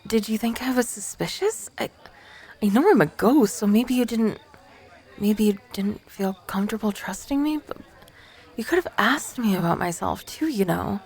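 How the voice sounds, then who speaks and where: A woman's voice: medium pitch, animated, normal speed, a little mellow, breathy edge. A woman acting as an awkward, nervous young female ghost in an ASMR roleplay, stammering, flustered and defensive.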